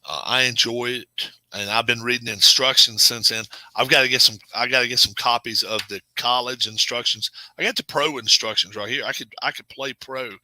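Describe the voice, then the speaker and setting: A middle-aged man hosting a casual live stream, chatting with viewers in a rambling, self-correcting way and sometimes dropping to a low murmur. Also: sharp tone, no room sound, low-quality recording. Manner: drops to a low murmur at times. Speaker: channel host.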